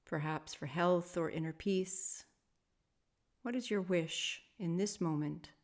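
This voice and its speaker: A woman teaching guided sleep meditation, speaking softly and soothingly in measured phrases with long pauses between them. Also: fairly low pitch. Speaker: yoga nidra meditation teacher.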